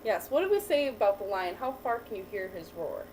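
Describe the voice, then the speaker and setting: A woman teacher reading a picture book aloud to a child, pausing to ask questions and praise the answers. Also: medium pitch, big pitch swings, darkish tone. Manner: patient, encouraging, asks and praises. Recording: distant mic with faint background noise.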